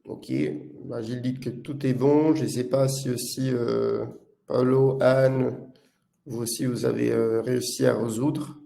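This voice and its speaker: A man from Italy speaking with an Italian accent, presenting a live webinar as a product manager in a halting delivery full of filler sounds. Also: mid-range voice, level tone, gravelly, dark tone.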